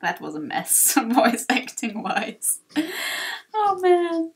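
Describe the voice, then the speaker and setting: A woman's voice: medium pitch, animated, takes it slowly. A woman doing casual, self-deprecating video game commentary, often apologetic as she plays. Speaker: let's player. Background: game music.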